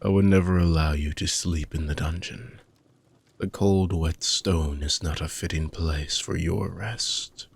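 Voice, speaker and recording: A man playing an ancient, weary dark mage villain with a gravelly, husky voice, speaking in soft, deliberate, formal phrases as an intimate fantasy audio roleplay monologue. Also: deep voice, steady tone, full character voice. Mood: tender, wistful. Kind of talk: slow, intimate dramatic monologue for sleep-aid audio roleplay.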